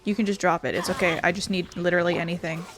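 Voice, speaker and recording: A woman's voice: on the low side, level tone, racing, a bit bright. Irreverent woman doing casual gaming commentary. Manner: irreverent, sweary, muttering to self. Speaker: gaming video host. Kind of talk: casual let's play commentary. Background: faint game music.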